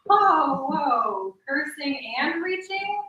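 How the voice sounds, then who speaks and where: Woman as sassy young actress character, fairly high bright voice, skeptical, gossipy, very roomy sound. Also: sing-song, very slow, muffled recording, full character voice. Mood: sardonic. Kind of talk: staged reading of a play. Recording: echoey room, distant mic.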